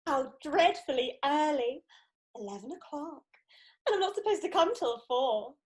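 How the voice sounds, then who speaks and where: Woman as very high, animated English governess. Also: normal speed. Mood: prim, wistful. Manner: proper, expressive. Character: prim, doting young female music teacher. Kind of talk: theatrical farce reading.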